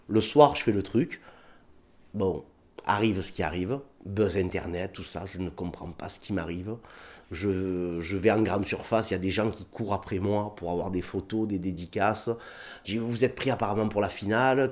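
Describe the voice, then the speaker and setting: A man with a southern French accent, a comedian telling anecdotes in a casual interview, acting out bits of dialogue. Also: lowish voice. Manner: animated anecdotes with put-on dialogue, many fillers.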